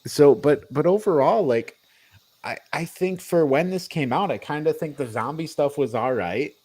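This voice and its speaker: A man's voice: on the high side, moderate pace, darkish tone. Man chatting casually on a podcast. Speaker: podcast co-host reviewing movies. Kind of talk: casual panel chat.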